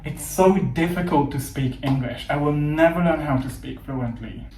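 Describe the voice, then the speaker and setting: A man's voice: fairly high pitch, moderate pace, a little mellow. A man in his thirties, a native Hungarian speaker, teaching English learners in an earnest, encouraging way. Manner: earnest, encouraging, emphatic lists. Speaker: English coach. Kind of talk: motivational teaching monologue. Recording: echoey room with faint ambience.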